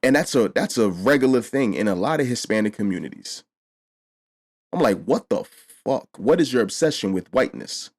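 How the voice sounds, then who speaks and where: A man's voice: mid-range voice, lively intonation, normal speed. A man hosting a casual discussion, blunt and emphatic, with frequent swearing. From Mexico. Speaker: host.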